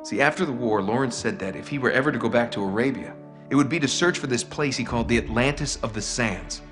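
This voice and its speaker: A man's voice: medium pitch, fast talker, natural voice. A man plays a confident treasure hunter in a video game. His voice sits in a middle register and he explains things with natural, conversational enthusiasm. Faint music runs underneath. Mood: eager, explaining. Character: eager, confident young male treasure hunter explaining clues.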